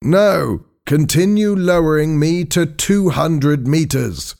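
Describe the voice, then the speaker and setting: Man acting an exclamatory high-pitched scientist diver. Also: slow pace, vocal fry, a little mellow. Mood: calm, interested. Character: male scientist diver, eager then alarmed and impatient.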